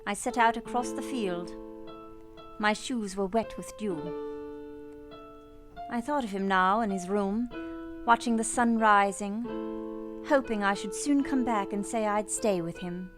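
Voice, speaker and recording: A woman playing a sorrowful but resolute young governess, telling her story in an earnest, measured, formal way for a radio play. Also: medium pitch, lightly acted. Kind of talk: first-person dramatic narration in a radio play. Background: piano music.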